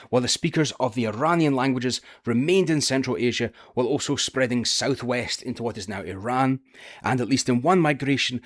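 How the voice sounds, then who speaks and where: A man with a Scottish accent, presenting a scripted history video in a careful, explanatory way. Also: medium pitch. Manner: careful, explanatory, with occasional filler "uh". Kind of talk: scripted educational narration.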